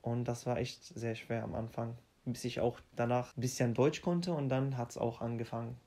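Young man, halting. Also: mid-range voice, fairly even intonation, mellow. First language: Arabic. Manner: halting, restarts often, simple phrasing. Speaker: interviewee. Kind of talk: casual interview.

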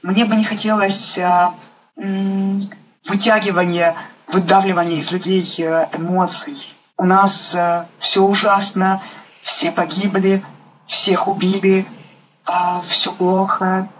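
A woman talks reflectively in an interview, at a middle pitch. She halts often to search for the right word, and there is faint noise around her voice. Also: level tone, rough recording. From Ukraine. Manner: halting, searching for words. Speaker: guest playwright.

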